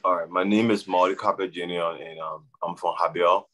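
A young man from Liberia with a Liberian accent, talking casually as a guest on a video call, halting and often repeating his words. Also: low-pitched, steady tone, a bit bright. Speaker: guest, soccer player. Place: room at home. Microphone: webcam on video call.

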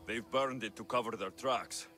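A man's voice: on the low side, moderate pace, bright, forceful tone. A man playing a practical ancient Greek mercenary hero in a video game cutscene, with a firm, direct, reassuring manner. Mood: matter-of-fact. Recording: long reverb, music behind.

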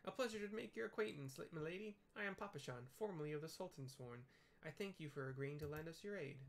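A man's voice: fairly high pitch, quick pace, vocal fry, pitched above the performer's own voice. Guy doing a polite old little fantasy gent, formal old-timey wording, raised voice, music behind. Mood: delighted. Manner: formal, archaic, effusive courtesy. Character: courtly old male tiny-folk former royal guard.

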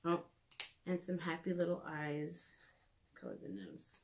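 Gentle woman teaching preschoolers to draw. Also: low voice, very animated, measured pace, a little hiss or hum. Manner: gentle, simple wording, thinking aloud while drawing. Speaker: children's art and reading teacher. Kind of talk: simple narrated drawing lesson for preschoolers. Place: living room at home, indoors. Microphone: camera a few feet away.

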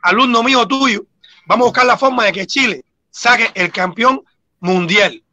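A Cuban boxing coach with a Cuban accent, talking earnestly and repeating himself as a guest on a video-call panel. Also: male voice, very high-pitched, normal speed, sharp tone. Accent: Cuban Spanish. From Cuba.

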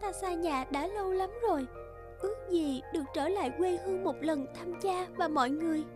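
Woman voicing a young maiden, timid and exclaiming, high-pitched, storybook cartoon, music behind. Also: fairly quick. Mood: sad, wistful. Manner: trembling, stammering, exclaiming. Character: frightened young woman held by a beast. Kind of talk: animated fairy-tale dubbing.

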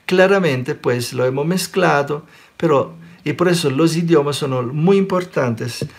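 Man, italian accent, forties, spiritual teacher, animated, webcam close up, somewhat high pitch. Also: a little slow, gravelly. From Sicily. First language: Sicilian. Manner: animated, gestures a lot, checks in with listeners by asking "ok?". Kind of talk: live online Q&A talk. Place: small room indoors.

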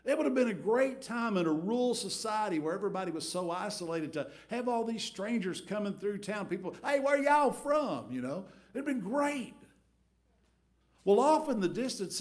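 A middle-aged man preaching a storytelling sermon from a church pulpit, swinging between big acted-out crowd voices and quiet asides. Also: sits high, very animated, moderate pace. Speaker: pastor. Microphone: headset mic.

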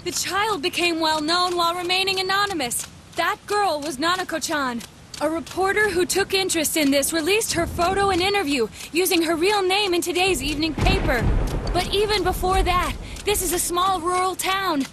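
Composed, very high-voiced young detective girl. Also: fairly bright tone, a little hiss or hum. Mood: grave, explanatory. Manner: measured, precise, formal. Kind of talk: video game voice acting.

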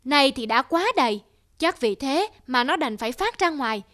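Woman playing a very high-voiced, deferential court minister. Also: lively intonation, fairly bright tone. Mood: solemn, aggrieved. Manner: deferential, measured. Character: dutiful, candid male court minister. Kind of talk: cartoon folktale dub. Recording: music under the dialogue.

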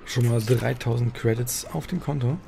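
Casting: male gameplay commentator, casual, gravelly fry, mid pitch, clear game noise behind. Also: moderate pace. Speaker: let's play commentator. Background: video game sound.

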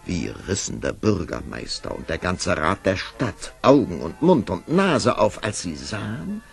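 A man narrating a children's fairy-tale audio play in an expressive storytelling manner. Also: deep voice, unhurried, creaky, soft background music. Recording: vintage audio play production.

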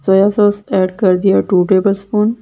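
Brief: Pakistani woman cooking host, dark gravelly tone, plain step-by-step instructions. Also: mid-range voice, level tone, normal speed. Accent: Pakistani Urdu. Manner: plain, repetitive instructional delivery. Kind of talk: step-by-step recipe voice-over. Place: home kitchen.

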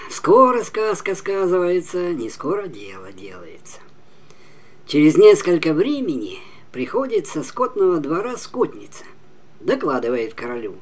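A man in his seventies narrating a fairy tale expressively and emphatically, with dramatic pauses and drops to a near whisper. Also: fairly high pitch, slightly rough. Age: 70s. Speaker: storyteller.

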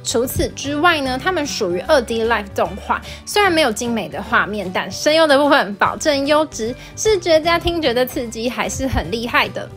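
A woman presents a scripted video review as its host. Her voice sits fairly high, with faint music playing behind it. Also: lively intonation, moderate pace, few pauses, a bit bright.

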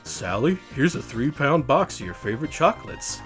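A man's voice: on the high side, big pitch swings, moderate pace, a bit bright, faint music behind. A man voicing a smug, boastful young hedgehog hero in a storybook. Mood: breezy, smug. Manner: smug, breezy. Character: cocky, boastful, self-important young male hedgehog hero.

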